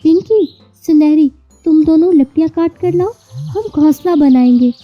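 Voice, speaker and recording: Woman voicing an earnest, high-pitched big-sister sparrow. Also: moderate pace, lo-fi sound, soft background music, close to an everyday voice. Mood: earnest, protective. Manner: earnest, reassuring. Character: devout, protective young big-sister sparrow in a cartoon.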